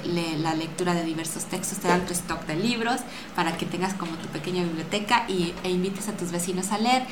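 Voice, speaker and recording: Low-pitched Mexican woman, noisy echoey room. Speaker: radio drama maker and guest. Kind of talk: casual interview talk. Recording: echoey room with clear background noise.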